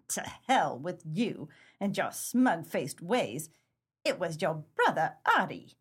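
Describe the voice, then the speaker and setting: A woman's voice: fairly low pitch, big pitch swings, slow, breathy edge, heavily acted. A woman playing a hot-tempered, defiant gangster's moll in a 1930s pulp crime radio play, tough-talking and slangy. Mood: taunting, fierce.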